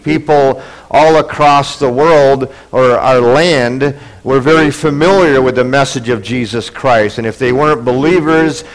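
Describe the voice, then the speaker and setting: A man's voice: medium pitch, rough recording. Congested preaching man. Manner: earnest, building in intensity. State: congested from a cold. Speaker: pastor. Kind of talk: sermon. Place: church.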